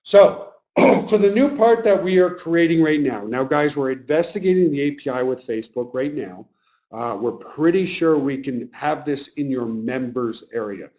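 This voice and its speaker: A man hosting a live webinar, talking earnestly and emotionally to his team, his voice getting a little raspy. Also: on the high side, animated, moderate pace, darkish tone, rough recording. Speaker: webinar host. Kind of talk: live webinar pep talk.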